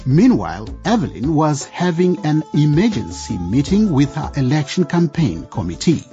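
A man's voice: on the high side, very animated, moderate pace. A man narrating a scripted radio drama as a storyteller, suspenseful, with playful asides to the listener. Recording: dull radio mix with music. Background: music.

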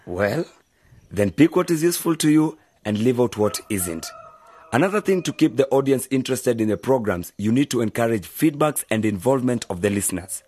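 Husky, sensible mentor. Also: male voice, mid-range voice, big pitch swings, a little mellow. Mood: patient, instructive. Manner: measured, explanatory. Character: sensible, patient older brother figure giving advice. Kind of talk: radio drama dialogue.

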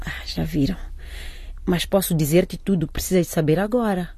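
A woman's voice: fairly low pitch, brisk, warm tone, slightly rough, dry recording. An actress playing a caring, anxious mother in a radio drama, coaxing and questioning. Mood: gentle, concerned.

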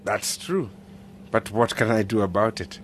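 An actor playing a principled village official in a radio drama, measured, polite and earnest. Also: male voice, on the low side, slight background noise, close to an everyday voice. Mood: reassuring.

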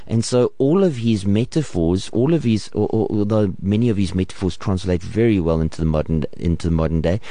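A man's voice: on the low side, dark tone, dry recording. A man hosting a panel discussion, often stammering and repeating words as he builds his questions. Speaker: host.

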